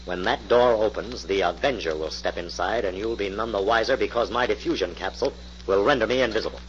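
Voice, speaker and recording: An actor playing a confident, businesslike crime-fighter in a 1940s radio drama. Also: male voice, fairly low pitch, a little fast, natural voice. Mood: resolute. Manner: assured, businesslike. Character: confident, brisk male crime-fighting hero. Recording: muffled, noisy old radio recording.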